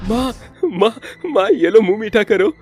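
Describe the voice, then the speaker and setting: A man voicing an excited, affectionate young adult son in a story, gleeful and teasing. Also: sits high, a little slow, faint music behind, voice pushed up.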